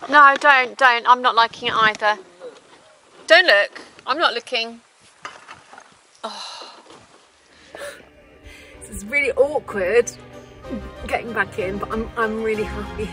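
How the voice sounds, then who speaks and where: A middle-aged woman chatting nervously while she holds the camera on a high rooftop. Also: slow, pauses often, bright, forceful tone, soft background music. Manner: nervous, anxious about the height. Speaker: vlogger filming her partner. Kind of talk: casual chat. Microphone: handheld camera she holds herself. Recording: outdoor recording with strong wind noise.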